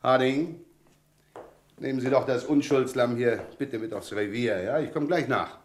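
A man's voice: medium pitch, a little slow, lightly acted. Wry, self-assured police inspector, played by a man. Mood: dryly triumphant. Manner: drily ironic, politely explaining. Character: self-assured, wry male Scotland Yard chief inspector. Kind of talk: German radio crime drama acting.

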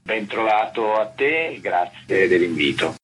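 A man's voice: lowish voice, animated, a little slow, a bit bright, faint music behind. A man explaining things as a guest in a radio interview, sometimes searching for his words. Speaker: interview guest, theatre producer.

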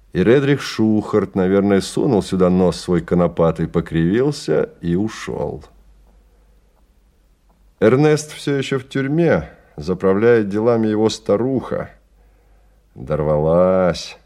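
An actor playing a measured male storyteller, narrating a radio drama in a scripted dramatic style. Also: deep voice, mellow, full character voice. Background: music.

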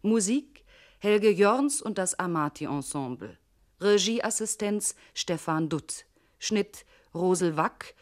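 A woman's voice: lowish voice, lively intonation, takes it slowly. A woman reading scripted credits as a radio announcer.

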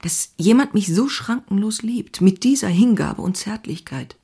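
Husky, passionate young diarist. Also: female voice, mid-range voice, lively intonation, normal speed, mellow. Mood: ecstatic, anguished. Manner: intimate, confessional, often dropping into breathy near-whispers. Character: passionate, restless young woman writer confiding her diary. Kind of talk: dramatic diary reading.

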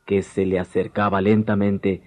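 A man's voice: on the low side, flat delivery, moderate pace, a little hiss or hum. A man narrating a scripted tale dramatically as a radio drama storyteller. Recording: dull lo-fi 1990s radio recording, music behind.

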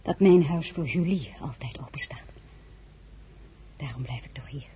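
A woman's voice: sits low, unhurried, warm tone, slightly rough, exaggerated voice. An actress playing a proud, guarded lady in a radio drama, speaking in a restrained, formal way. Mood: cool, hushed. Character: proud, guarded lady, emperor's former love. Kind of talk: dramatic radio-play acting and narration.

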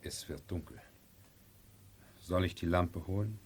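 Radio drama for a deferential, uneasy male retainer: low register, slightly rough tone, hesitant and respectful. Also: fairly even intonation, unhurried. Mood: subdued, attentive. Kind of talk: 1970s German radio play acting.

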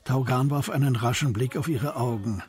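A man narrating a crime radio play as scripted literary storytelling, in a measured manner. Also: mid-range voice, darkish tone.

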